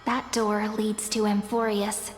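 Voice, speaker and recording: Slow, echoing, gentle mysterious young woman, acted. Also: mid-range voice, level tone, close to an everyday voice. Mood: earnest warning. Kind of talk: video game voice acting. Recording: echoey long reverb, music underneath.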